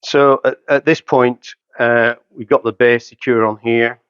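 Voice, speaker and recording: A man's voice: medium pitch, fairly even intonation, unhurried, fairly bright tone, clean tone, dry recording, muffled recording. A man in his fifties giving a matter-of-fact, step-by-step product demonstration in short phrases while he works. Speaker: hi-fi dealer presenter. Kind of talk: hands-on product setup demonstration, talking while working. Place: carpeted indoor showroom room.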